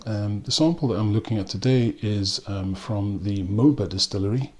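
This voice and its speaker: A man from South Africa with a South African accent, reviewing a rum in a relaxed way with frequent pauses and ums. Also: lowish voice. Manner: unhurried, with frequent ums and restarts. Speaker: spirits reviewer. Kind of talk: casual spoken tasting review.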